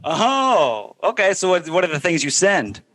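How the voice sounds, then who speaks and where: A man's voice: high-pitched, very animated, unhurried, pushed and bright, slight background noise. A man co-hosting a comedy podcast, playful and teasing in casual chat. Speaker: podcast co-host.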